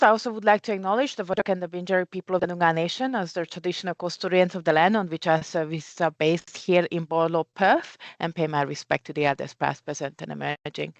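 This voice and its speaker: Woman with a Hungarian accent, lower voice, earnest guest speaker; muffled, dry video-call sound. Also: no room sound. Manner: earnest, with restarts and fillers. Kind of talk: webinar presentation. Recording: muffled, lo-fi webinar audio.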